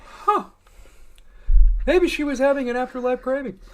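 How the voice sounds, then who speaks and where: A wisecracking sitcom comedian, acted by a woman, pitched extremely high. He is exasperated and incredulous, griping his way through a parody scene. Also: moderate pace, recorded from a distance, natural voice. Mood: wry.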